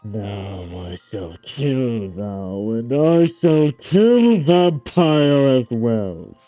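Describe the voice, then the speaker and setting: A performer voicing a menacing male dark lord with a raspy voice and archaic, commanding speech in a comic dramatic reading. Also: mid-range voice, very animated, very slow, no echo, soft background music, voice pushed down.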